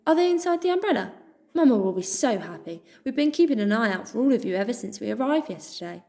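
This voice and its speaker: A woman voicing a warm, caring young female mouse who speaks with affection and worry. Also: on the high side, big pitch swings, a little fast, pitched above the performer's own voice. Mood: hopeful. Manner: affectionate and anxious.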